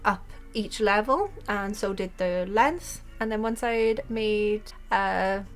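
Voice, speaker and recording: A young Welsh woman with a Welsh accent, chatting excitedly about a game update and then calmly explaining her building steps. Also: mid-range voice, takes it slowly, faint music behind. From Wales. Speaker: game content creator. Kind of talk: casual gameplay commentary.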